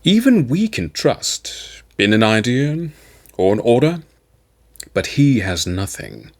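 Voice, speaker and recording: A man voicing a grave, weary captain general in a sci-fi audiobook, solemn and deliberate. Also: medium pitch, very animated, heavily acted. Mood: brooding, solemn. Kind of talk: dramatic sci-fi audiobook dialogue.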